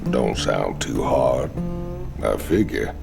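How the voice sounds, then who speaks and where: An actor playing a brash, roguish outlaw tough guy in a video game, gravelly, with a Southern American accent. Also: a bass voice, lively intonation, slow, loud music behind the voice, heavily acted. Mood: casual, roguish. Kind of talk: cinematic video game acting.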